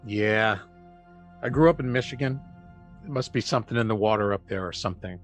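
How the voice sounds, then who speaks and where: A man's voice: fairly low pitch, brisk, soft background music, close to an everyday voice. Man acting a wry, easygoing UFO investigator. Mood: wry, casual. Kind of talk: audio drama dialogue.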